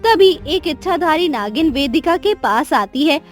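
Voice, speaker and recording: A woman narrating a cartoon story in a steady, clear storytelling manner. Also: high-pitched, a little slow, soft background music.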